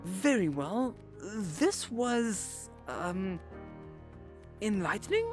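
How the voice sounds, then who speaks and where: A woman's voice: medium pitch, sing-song, extremely slow, darkish tone, faint music behind. A woman playing a polite, eager, slightly flustered hostess in a comedic audio drama. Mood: hesitant. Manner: earnest, hesitant, searching for words.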